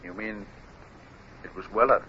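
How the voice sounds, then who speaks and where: An actor playing a plain-spoken, hearty naval lieutenant in a 1950s radio drama. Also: male voice, lowish voice, unhurried, close to an everyday voice. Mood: shocked. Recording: muffled, hissy vintage radio recording.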